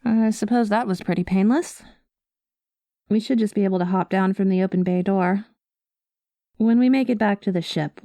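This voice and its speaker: Casting: actress for cynical female space smuggler, ASMR roleplay. Medium pitch, wry conversational asides, guarded with a soft spot, very little room sound. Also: no room sound, studio quality, close to an everyday voice. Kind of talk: ASMR roleplay addressed to the listener.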